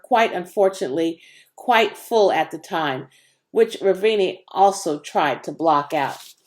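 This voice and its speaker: A woman reading a children's novel aloud as an expressive storyteller, with dramatic emphasis. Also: lowish voice. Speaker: audiobook reader.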